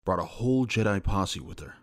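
An actor playing a brisk, obedient military general reporting to a superior. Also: male voice, on the low side, animated, no room sound, studio quality, close to an everyday voice. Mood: brisk, dutiful.

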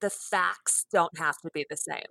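Bright-voiced chatty woman author. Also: lowish voice, a little slow, vocal fry, no echo. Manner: chatty, laughs often, trails off and restarts. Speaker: guest author. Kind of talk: conversational interview.

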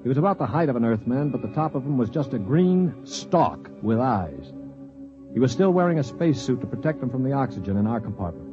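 A man playing a calm sardonic narrator-hero. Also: mid-range voice, a little fast, lightly acted. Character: world-weary space traveler and narrator. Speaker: narrator and lead. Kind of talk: 1950s radio drama acting. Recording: dull old radio recording with music behind.

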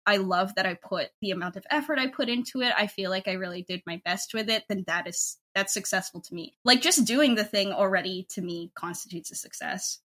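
A woman's voice: medium pitch, fairly quick, few pauses, fairly bright tone. A woman in a casual interview, talking effusively and informally as a creator, rambling a little as she goes. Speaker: podcast guest, audio drama creator.

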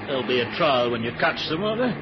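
An actor playing a vain, self-important British cabinet minister with an upper-class accent and a pompous manner, in a 1970s radio drama. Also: male voice, mid-range voice, sing-song, quick pace, natural voice. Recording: dull, noisy old radio recording.

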